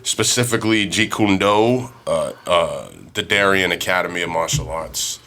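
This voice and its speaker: A man's voice: low voice, normal speed, pushed and bright. An American man hosting a podcast in a casual, slangy chat with some swearing. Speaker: podcast host.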